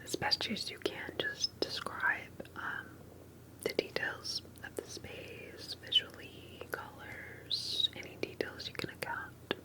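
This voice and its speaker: Whispering woman in an ASMR interview roleplay. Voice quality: breathy, unvoiced whisper. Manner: gentle, hesitant, unhurried questioning with soft pauses. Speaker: ASMR performer playing an interviewer.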